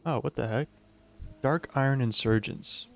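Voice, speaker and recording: A young man from the Chicago suburbs, chatting casually with his viewers while he plays a game, with easy fillers and a relaxed tone. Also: mid-range voice, moderate pace, vocal fry. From Chicago suburbs, USA. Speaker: gaming streamer. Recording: music playing behind the voice.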